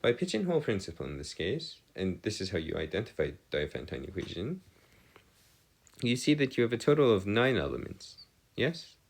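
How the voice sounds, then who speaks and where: A man giving a step-by-step math lesson as a tutor, halting and pausing to check himself, with formal, bookish wording. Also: fairly low pitch, sing-song, creaky, slightly rough. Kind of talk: lesson, working through equations step by step.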